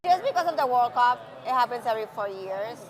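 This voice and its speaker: A woman from Peru, a soccer fan giving a casual, halting street interview. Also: fairly high pitch, expressive, low-quality recording. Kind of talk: casual vox pop.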